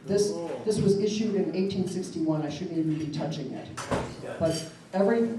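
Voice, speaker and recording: A woman's voice: on the low side, moderate pace. A woman presenting gifts at a dinner, chatty, with fillers and laughs. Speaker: event organizer presenting gifts. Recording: echoey hall from far off, noisy.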